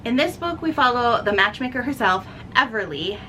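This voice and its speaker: A woman's voice: a little high, animated, normal speed, sharp tone, slight background noise, low-quality recording. A woman hosting a casual, chatty book vlog, talking enthusiastically and stressing words by repeating them. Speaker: book reviewer and video host.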